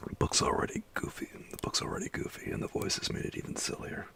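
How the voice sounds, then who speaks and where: A man chatting with his livestream viewers in a soft whisper, rambling and chuckling as he goes. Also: fast.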